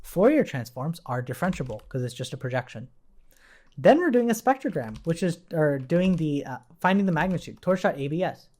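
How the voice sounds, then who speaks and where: A man's voice: a little high, very animated, a little fast, a little mellow. A man giving an informal coding tutorial as an instructor, explaining step by step and checking in with rhetorical questions while he types. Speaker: programming instructor.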